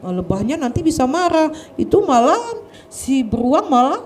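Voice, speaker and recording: A woman telling a children's story in a lively, sing-song way, often addressing the children as friends. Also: high voice, moderate pace, a little hiss or hum.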